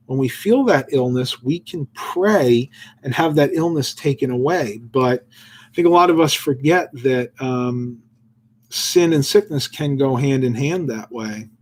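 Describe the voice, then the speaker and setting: Guy on a livestream reading bible verses then rambling about them, um and uh a lot, mid pitch voice. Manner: reads Bible verses aloud, then muses loosely with fillers. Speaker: livestream host. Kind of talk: casual devotional livestream chat.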